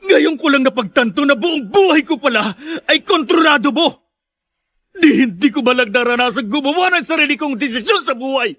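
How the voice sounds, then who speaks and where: Radio drama husband: Filipino, bitter and irritable public servant, very high, sharp-edged delivery, emotional. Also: male voice, expressive, brisk. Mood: anguished. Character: bitter, weary, hard-drinking married man, a public servant.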